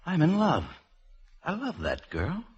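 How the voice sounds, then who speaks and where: An actor playing a lovesick, painfully earnest lonely bachelor who speaks in strained declarations in a 1950s radio drama. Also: male voice, fairly high pitch, huge pitch swings, a little slow. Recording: muffled 1950s radio broadcast.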